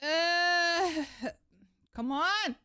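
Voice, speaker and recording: A woman's voice: extremely high pitch, very slow, bright, forceful tone. A young woman streaming a game, mumbling to herself and making dry sarcastic remarks. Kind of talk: casual gameplay commentary.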